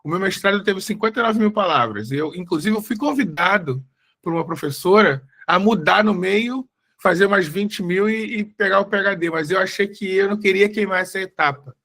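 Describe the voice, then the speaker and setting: A Brazilian-born researcher in his forties speaks over a video call in a loose, rambling way, full of fillers and restarts. His voice sits a little high and sounds bright and forceful. He talks like someone chatting before a presentation. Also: moderate pace. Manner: chatty, self-correcting, many fillers. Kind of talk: casual live talk before a slide presentation.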